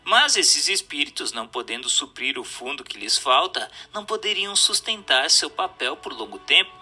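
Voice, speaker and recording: A man with a Brazilian Portuguese accent reading a spiritual text as scripted narration, in a formal, measured, didactic way. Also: medium pitch.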